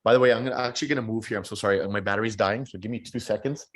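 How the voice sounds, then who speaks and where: Man, thirties, very deep voice, thoughtful, stumbles and repeats words, casual interview guest. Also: rapid-fire. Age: 30s. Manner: reflective, repeats words while thinking. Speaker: podcast guest.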